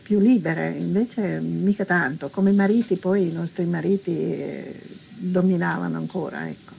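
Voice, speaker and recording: A woman's voice: lowish voice, moderate pace, vocal fry, a little mellow, a little hiss or hum. An older woman giving informal testimony in a hesitant, colloquial way, often repeating herself. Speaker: interviewee recalling her past.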